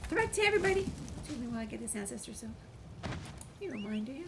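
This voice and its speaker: A woman's voice: fairly high pitch, big pitch swings, fairly quick, vocal fry, very noisy. A woman giving casual, chatty let's play commentary on a video game, playfully talking back to the characters. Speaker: gamer doing a video game walkthrough.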